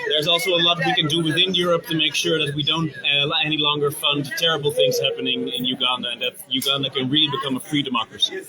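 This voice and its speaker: A man guest at a conference, speaking in a halting, hesitant way. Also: on the high side, flat delivery, rough recording. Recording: loud crowd behind.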